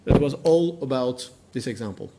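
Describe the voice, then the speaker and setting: Halting non-native male conference speaker. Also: mid-range voice, animated, heavy background noise. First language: not English. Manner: halting, repeats words while searching for phrasing. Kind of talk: conference presentation.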